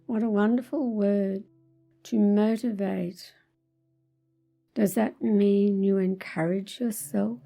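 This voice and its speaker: Female meditation guide, very mellow and heavily creaky, soothing, deliberate phrasing over background music. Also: mid-range voice, fairly even intonation. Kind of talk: guided meditation, spiritual talk.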